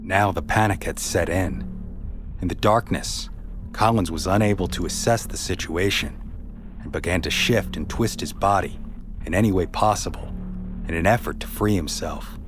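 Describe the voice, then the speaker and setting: A man narrating a scripted true story as a podcast host, in a measured, somber way. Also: sits low, over music.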